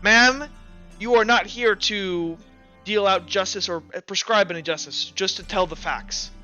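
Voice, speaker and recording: A man's voice: sits high, a little slow, bright, forceful tone, dull audio, soft background music, exaggerated voice. A man playing a stern judge who keeps order in a tabletop game courtroom with firm, formal speech.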